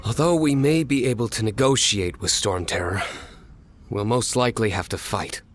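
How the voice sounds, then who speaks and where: An actor playing a serious, cautious man readying for battle in a video game, measured in delivery. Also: fairly low pitch, slight background noise, close to an everyday voice. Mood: grave, cautioning. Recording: faint music behind.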